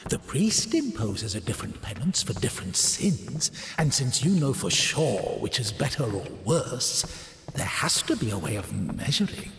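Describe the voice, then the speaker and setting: A man voicing a mocking devil in a video game, an inner voice who narrates and probes in a sardonic, theatrical, drawn-out way. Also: medium pitch. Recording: echoey long reverb, noisy game audio.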